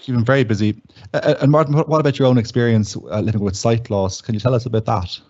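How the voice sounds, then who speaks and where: A man's voice: medium pitch, fast talker, a little mellow, highs cut off. A man hosting a conversational interview and putting questions to his guest. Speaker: interviewer and host.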